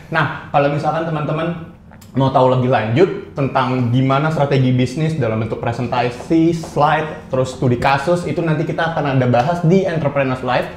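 A man with an Indonesian accent hosting a casual interview, chatty and quick to laugh. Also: mid-range voice, darkish tone, echoey room, slight background noise. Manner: chatty, lots of fillers, laughs often. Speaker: interview host, company CEO.

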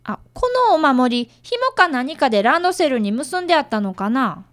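A woman's voice: high voice, big pitch swings, normal speed. A woman playing an earnest, polite fifth-grade boy who narrates and acts in a radio drama, with a touch. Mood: kindly, questioning.

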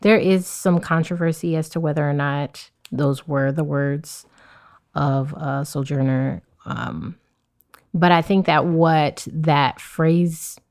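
A woman's voice: sits low, fairly even intonation, takes it slowly, darkish tone. A woman co-hosting a podcast, thinking aloud in casual discussion with frequent fillers. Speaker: podcast co-host.

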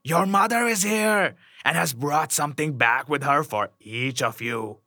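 Man playing a slow, scheming storybook wolf. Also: a little high, pushed and bright, no room sound, studio quality, full character voice. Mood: coaxing. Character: scheming, menacing villainous wolf.

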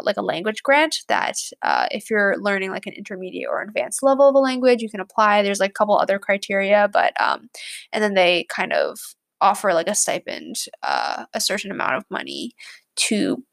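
A woman's voice: mid-range voice, big pitch swings, moderate pace, gravelly, fairly bright tone. A woman in her twenties, a college student, talking casually and rambling with lots of fillers. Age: 20s. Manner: rambling, many fillers, chatty. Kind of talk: casual chat to camera.

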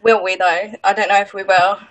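A woman's voice: mid-range voice, level tone, a little fast, low-quality recording. A woman co-hosting a podcast interview, chatting in an easygoing, self-deprecating way. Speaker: podcast co-host. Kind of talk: casual chat.

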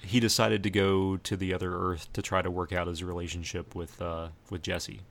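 A man co-hosting a podcast, talking casually about TV in a measured, thoughtful, conversational way. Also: sits low, little pitch movement, vocal fry. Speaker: podcast co-host. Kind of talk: casual chat about TV shows.

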